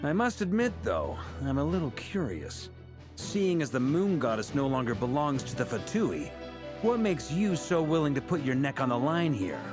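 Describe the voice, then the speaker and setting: An actor playing an easygoing, shrewd knight commander in a video game, talking in a wry, casual way. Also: male voice, on the high side, sing-song, normal speed. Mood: curious, easygoing. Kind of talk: video game character acting. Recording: faint background music.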